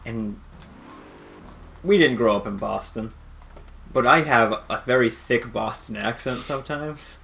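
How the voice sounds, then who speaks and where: A male co-host with a medium-pitched voice banters over gameplay. He talks casually, riffing on what is said and swearing as he jokes. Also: unhurried, gravelly, long pauses, fairly bright tone. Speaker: let's play co-host.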